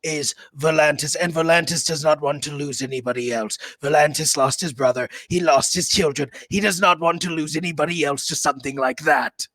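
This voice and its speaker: A man's voice: on the high side, fairly quick, few pauses, fairly bright tone, no echo. A man playing a tabletop game, speaking of his character in the third person in a stern way. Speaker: player.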